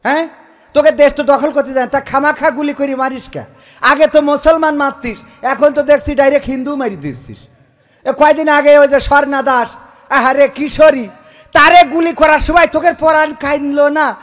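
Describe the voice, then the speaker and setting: A man's voice: extremely high pitch, moderate pace, fairly bright tone. A man performing a comic skit in a colloquial, indignant, scolding manner. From Bangladesh. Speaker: comedian. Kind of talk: comic skit with mock phone call.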